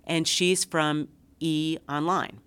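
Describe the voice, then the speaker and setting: A woman's voice: sits low, steady tone, slow pace, fairly bright tone, clean tone. A woman hosting a podcast, nasal from a cold, bubbly and gushing with superlatives. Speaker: podcast host. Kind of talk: casual podcast chat.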